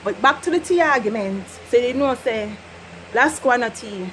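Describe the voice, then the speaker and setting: A young woman from Jamaica with a Jamaican accent, teaching patois in an animated, laughing casual vlog. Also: on the high side, moderate pace, a little hiss or hum, low-quality recording. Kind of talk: casual chatty lesson.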